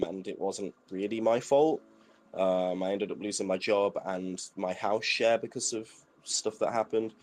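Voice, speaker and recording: A man's voice: fairly low pitch, background noise. A British man in his thirties, a guest DJ, chatting casually and telling his story with lots of fillers. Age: 30s. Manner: relaxed storytelling with frequent fillers. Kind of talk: casual interview chat.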